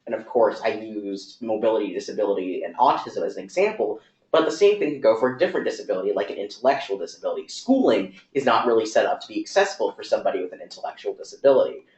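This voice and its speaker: A man's voice: on the low side, lively intonation, a little mellow. Man explaining at length, echoey room. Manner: thoughtful, rapid run-on explaining with fillers. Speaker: talk speaker. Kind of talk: conversational talk. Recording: echoey room, dull lo-fi sound.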